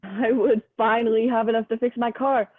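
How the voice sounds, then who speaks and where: Young actress as kindly, quirky gamer, higher-pitched, chatty, tinny phone-like student play recording. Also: female voice, fairly even intonation, normal speed, no room sound, natural voice. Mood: excited. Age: young adult. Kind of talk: student one-act play acting. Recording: tinny dry phone-like audio.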